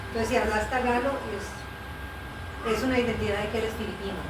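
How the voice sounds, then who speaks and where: A woman's voice: on the high side, steady tone, moderate pace. Woman missionary explaining bible translation work, patient, lots of fillers, sounds far off in an echoey room with noise. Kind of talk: conversational explanation in an interview. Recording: roomy, distant mic with background noise.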